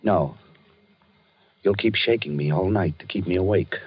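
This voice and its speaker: An actor playing a haunted, obsessed husband in a 1940s radio drama, stammering and agitated. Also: male voice, sits low, normal speed, slightly rough, dry recording. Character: obsessed haunted husband, tense and unstable man. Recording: muffled old radio broadcast.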